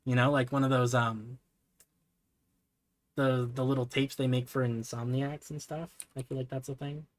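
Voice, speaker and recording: A man streaming from his computer, chatting casually in a soft, relaxing voice, rambling with lots of fillers and self-deprecating asides. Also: medium pitch, level tone, moderate pace. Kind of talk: casual livestream chat while building.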